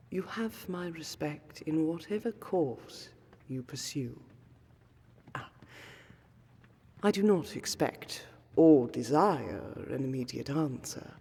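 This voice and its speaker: A woman playing an aristocratic vampire scholar in a fantasy audio roleplay, speaking formally and deliberately with weighty pauses. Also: low-pitched, dark tone, slightly rough, full character voice. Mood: gentle, earnest. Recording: long hall-like reverb under prominent music.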